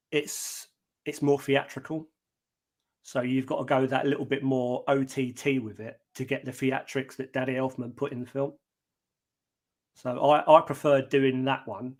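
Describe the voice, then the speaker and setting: Deep-voiced man, relaxed and plain-spoken. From Britain. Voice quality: deep talking voice. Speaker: guest singer. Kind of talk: casual interview answers.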